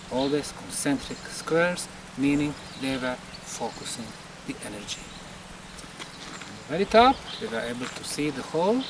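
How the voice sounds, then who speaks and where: A man from Bosnia giving an emphatic on-location explanation as a presenter, in deliberate short phrases. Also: mid-range voice. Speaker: presenter, pyramid researcher. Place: outdoors at a temple site. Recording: distant mic, clear outdoor background noise.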